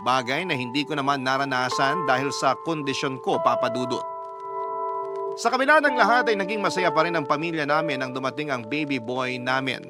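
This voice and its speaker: An actor playing a sentimental letter writer who narrates married family life in a reflective, confessional way. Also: male voice, medium pitch, brisk, lightly acted. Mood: wistful. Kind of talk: dramatized first-person confessional narration. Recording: clear music bed behind narration.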